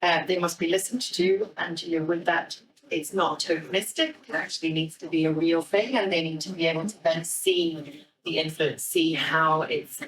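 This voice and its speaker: An Irish woman giving a live, explanatory presentation to an audience, speaking a little hesitantly. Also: sits low. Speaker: theatre director giving a talk. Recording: echoey room, distant microphone.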